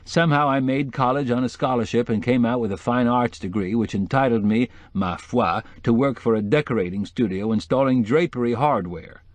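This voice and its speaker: A man's voice: medium pitch, brisk, few pauses, no echo, pitched above the performer's own voice, lightly acted. A man voicing a witty, flamboyant decorator in an audiobook, sprinkling French phrases into hip slang. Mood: gleeful, relishing. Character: flamboyant, witty male interior decorator, sly and gushing. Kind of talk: acted audiobook dialogue.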